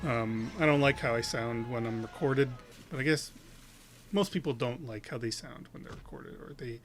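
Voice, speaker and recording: A man's voice: medium pitch, normal speed, faint music behind. A man hosting a casual reaction video, rambling with lots of fillers and restarts.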